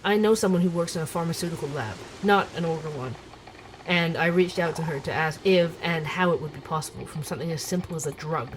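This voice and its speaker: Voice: medium pitch, fast talker, a little hiss or hum, natural voice, low-quality recording. An actor playing a young, curious, skeptical night-shift barista who narrates an investigation in a musing, conversational way for an audio drama. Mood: investigative, musing.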